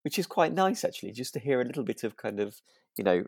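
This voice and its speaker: A man's voice: medium pitch, very animated, quick pace, a little mellow, slightly rough, no echo, studio quality. A man co-hosting a podcast, chatting informally and thinking aloud in a tentative way. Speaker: podcast co-host. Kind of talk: relaxed, informal discussion.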